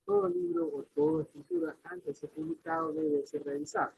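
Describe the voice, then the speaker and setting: A man teaching a class in a loose, chatty lecture, his voice leaping between high and low. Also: huge pitch swings, fairly quick, gravelly, a little mellow, slight background noise, low-quality recording.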